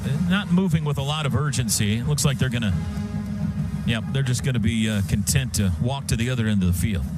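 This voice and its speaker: A man's voice: mid-range voice, brisk, loud music behind the voice. A man doing live radio play-by-play of a football game, describing each play in clipped, vivid phrases. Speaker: play-by-play announcer. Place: stadium radio booth. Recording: radio broadcast over loud stadium sound.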